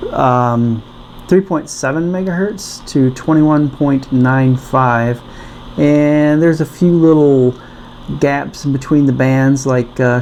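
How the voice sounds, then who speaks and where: A man casually demonstrating a product as a reviewer, thinking aloud in a halting way. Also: medium pitch, darkish tone, slight background noise, rough recording. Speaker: radio reviewer.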